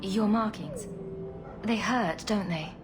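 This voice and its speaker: A caring female adventurer with a mid-range voice, asking gentle, probing questions. Her lines carry a long reverb tail, with a loud game score underneath. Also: medium pitch, sing-song, takes it slowly, over music. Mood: concerned. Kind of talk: video game cutscene dialogue.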